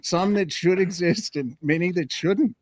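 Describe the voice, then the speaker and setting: A man's voice: high voice, measured pace, crowd noise. A man taking part in a podcast quiz, chatting and bantering casually.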